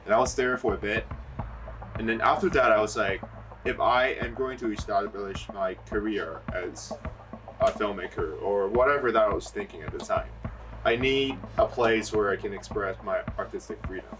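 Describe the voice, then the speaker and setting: Young man, lowish voice, loose and chatty, heard from a distance. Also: normal speed. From Hong Kong. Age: young adult. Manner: relaxed, rambling, full of "like" and "you know". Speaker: filmmaker interviewee. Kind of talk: casual interview answers. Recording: mic at a distance, music underneath. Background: background music.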